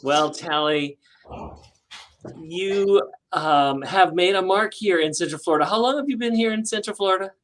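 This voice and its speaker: A woman hosting a talk show, asking questions in an encouraging, halting, conversational manner. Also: lowish voice. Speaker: talk show host interviewer. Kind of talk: unhurried conversational interviewing.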